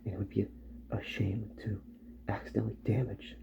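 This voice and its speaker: A man speaking softly, slowly and soothingly in an ASMR roleplay as a repair doctor, often slipping into a whisper. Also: fairly low pitch, little pitch movement, gravelly, dull audio, background noise.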